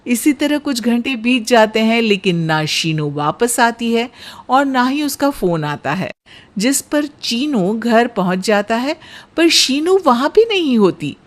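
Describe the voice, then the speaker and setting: Unhurried woman storyteller, lively intonation. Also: a little high. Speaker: narrator. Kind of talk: scripted storytelling narration for a cartoon.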